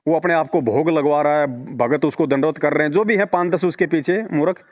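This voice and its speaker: Male, fairly high voice, argumentative and insistent, dull phone-call recording. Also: a little fast, dry recording. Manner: argumentative, rhetorical questions, repetitive emphasis. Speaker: religious devotee speaking to a follower. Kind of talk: persuasive phone conversation.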